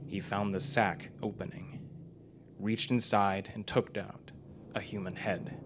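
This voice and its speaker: A man's voice: fairly low pitch, little pitch movement, measured pace. A man reading a scripted story aloud as dramatic narration at a live performance. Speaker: story reader. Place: performance club before live audience. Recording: live club recording, faint noise.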